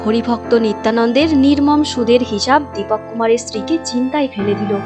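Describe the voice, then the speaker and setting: Woman narrator, high voice, scripted cartoon storytelling, clear music bed. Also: fast.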